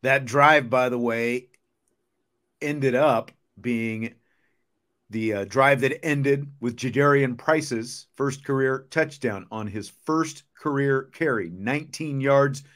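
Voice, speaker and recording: A man's voice: medium pitch, takes it slowly, fairly bright tone. American football podcast host, a man. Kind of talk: casual sports commentary.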